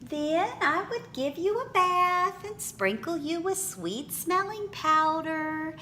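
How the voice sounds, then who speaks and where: A woman reading a picture book aloud for children in an expressive, theatrical manner with drawn-out phrasing. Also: very high-pitched, a little hiss or hum.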